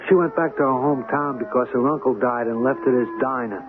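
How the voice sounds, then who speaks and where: Rough-edged hustler played by an actor, tinny radio. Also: male voice, mid-range voice, steady tone, fast, noisy background, natural voice. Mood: wry resignation. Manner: colloquial, resigned, ungrammatical. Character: rough-edged small-time hustler recounting his story. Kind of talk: first-person radio drama narration. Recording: tinny old radio recording, music behind.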